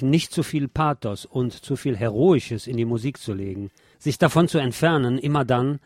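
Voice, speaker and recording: Male radio host, middle pitch, music behind. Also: darkish tone, no room sound. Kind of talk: radio presenting, reading an interview aloud. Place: radio broadcast.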